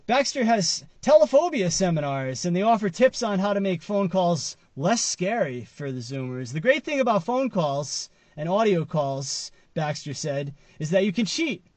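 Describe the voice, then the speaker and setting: Male vlogger, roughly forty, somewhat higher register, conversational and emphatic, part reading and part riffing, very dry car-interior sound. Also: sing-song, normal speed, few pauses, dry recording. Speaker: vlogger commenting on news. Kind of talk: casual commentary to camera, part read from an article. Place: inside a parked car.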